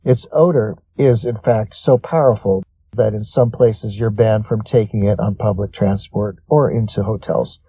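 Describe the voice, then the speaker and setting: Man, scripted article narration, very warm dark timbre, husky texture, dry recording. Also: fairly low pitch, normal speed, hardly any pauses, no room sound. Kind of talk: scripted reading of a list article.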